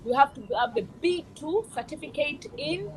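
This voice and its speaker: Guest, woman, middle-aged, high-pitched, halting delivery. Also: expressive, slight background noise, poor audio. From Kenya. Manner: searching for words, halting restarts. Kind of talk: casual interview answers.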